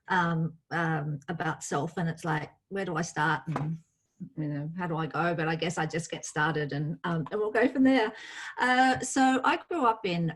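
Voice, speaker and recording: Hesitant, modest woman from Australia. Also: lowish voice. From outback New South Wales, Australia. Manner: modest, hesitant, many fillers. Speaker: guest. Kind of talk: personal story on a panel call.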